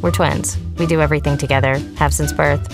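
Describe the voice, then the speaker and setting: Woman with a low voice reading a first person ad script, music behind her. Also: fairly even intonation, brisk, vocal fry. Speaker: voiceover artist. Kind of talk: first-person commercial narration.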